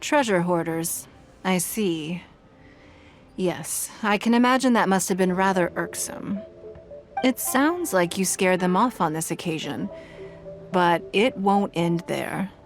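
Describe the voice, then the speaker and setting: A dignified female leader in a video game, with a slightly low voice. She is composed, formal and courteous, speaking with measured authority over music. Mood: calm, gracious.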